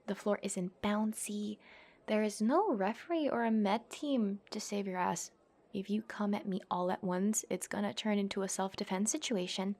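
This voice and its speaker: Female voice actor as tough young tsundere woman, cocky, taunting, bossy, mid-pitched voice, ASMR girlfriend roleplay. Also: moderate pace, darkish tone, lightly acted. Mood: menacing, cocky. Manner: brash, taunting, bossy, teasing.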